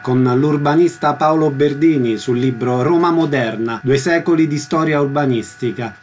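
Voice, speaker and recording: A man presenting on radio as host and asking short interview questions. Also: on the high side.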